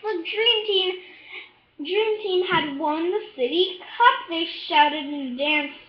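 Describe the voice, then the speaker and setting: A child reading a picture book aloud in a halting, expressive way, adding little asides to the listener. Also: very high-pitched. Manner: halting, expressive, with drawn-out words and excited shouts. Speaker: storybook reader. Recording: echoey room, slightly dull sound.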